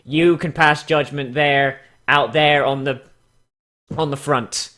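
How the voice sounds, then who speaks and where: A man's voice: on the high side, steady tone, slow pace, pushed and bright. A man giving casual comedic commentary and mock dramatic readings in a sarcastic, theatrical, foul-mouthed way. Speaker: YouTube host.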